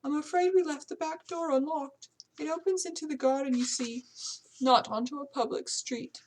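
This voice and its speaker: A woman performs a loyal, prim English housekeeper in a period storybook mystery. The voice sits high and speaks with proper, deferential manners in a put-on British accent. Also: fairly even intonation, normal speed, slight background noise, natural voice. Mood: tearful, earnest. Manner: proper, deferential, old-fashioned servant speech.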